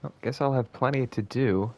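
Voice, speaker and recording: A man with a mid-pitched voice streaming a game. He comments casually and off the cuff, swearing freely. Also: normal speed, dark tone. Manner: informal, profane.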